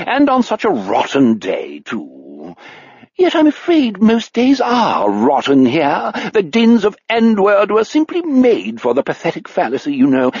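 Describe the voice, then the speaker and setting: A man voicing a ghoulish one-eyed lord who speaks in a bogus plummy English aristocrat voice, high and breezily mocking. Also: darkish tone, husky, no room sound, dull audio, exaggerated voice.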